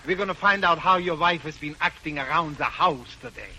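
An actor playing a fussy, officious psychiatrist in a 1940s radio comedy. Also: male voice, a little high, brisk, pushed and bright. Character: fussy middle-aged male psychiatrist. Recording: noisy old radio broadcast.

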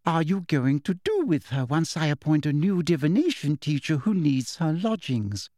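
A man voicing a sweetly menacing middle-aged woman official who speaks softly with a shrill edge. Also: lively intonation, moderate pace, dry recording, studio quality, natural voice. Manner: soft, deadly, with a shrill edge.

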